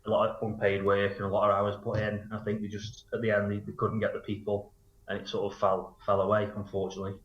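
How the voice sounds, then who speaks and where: A man gives casual, matter-of-fact interview answers as a coach, feeling his way through phrases. His voice is fairly low, and the sound is clearly roomy and slightly dull. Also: monotone, quick pace. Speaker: football coach, interview guest.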